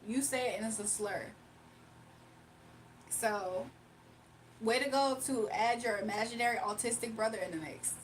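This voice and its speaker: Chatty higher-voiced woman live-streaming. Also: normal speed, pauses often, a little hiss or hum, poor audio. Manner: chatty, laughs often, talks straight to viewers. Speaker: livestreamer. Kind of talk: casual live-stream chat, reading comments aloud. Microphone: phone.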